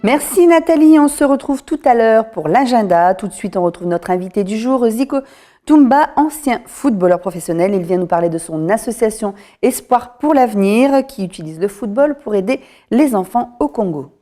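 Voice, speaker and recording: A woman presenting local TV news in a studio, asking an interview guest brisk prepared questions. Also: fairly high pitch, lively intonation, warm tone, soft background music. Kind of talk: TV interview hosting.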